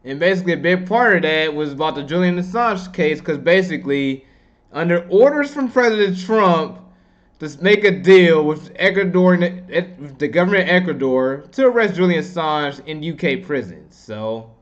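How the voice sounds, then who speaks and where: A man with a slightly high voice, recorded in dull, muffled lo-fi audio. He talks as an online commentator, giving opinions in a loose, slangy, rambling manner. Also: animated, moderate pace.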